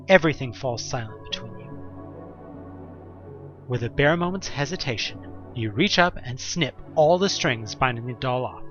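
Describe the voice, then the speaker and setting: Animated male horror narrator, dull sound. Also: medium pitch. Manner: theatrical, suspenseful, with wry casual asides. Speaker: narrator of an audio drama. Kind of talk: dramatic second-person horror story narration. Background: music.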